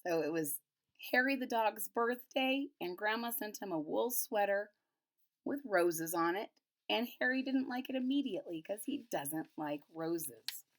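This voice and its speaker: Lady doing a kids picture book read-aloud, middle-pitched voice, explains stuff in simple words. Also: animated, a little slow. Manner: explains and recaps simply for young listeners. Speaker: storybook reader.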